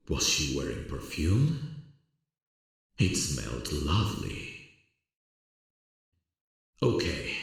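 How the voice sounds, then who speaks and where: A man playing a smitten, self-conscious theatre director who is kind to actors, in an ASMR roleplay audio drama, with a gravelly, husky voice. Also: very deep voice, heavily acted. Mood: wistful, self-chiding. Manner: alternates murmured private musing and projected, polite instructions.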